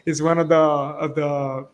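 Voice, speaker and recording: Hesitant man hosting an interview. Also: a little high. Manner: hesitant, searching for words, many fillers. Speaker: interview host. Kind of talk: casual interview questions.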